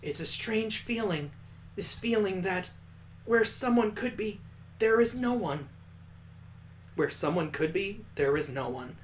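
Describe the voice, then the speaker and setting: A man voicing a composed, enigmatic older schoolgirl from a visual novel, speaking in a measured, formal way. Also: high voice, animated, a little hiss or hum. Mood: mysterious.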